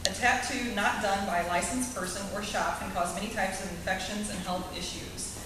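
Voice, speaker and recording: A woman moderating a town candidates' meeting, projecting her voice loudly to read out the questions. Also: medium pitch, steady tone, a little fast. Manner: loud, projecting to the room. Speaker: debate moderator. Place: community center hall. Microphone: no mic, camera far off. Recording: echoey hall from far off, noisy.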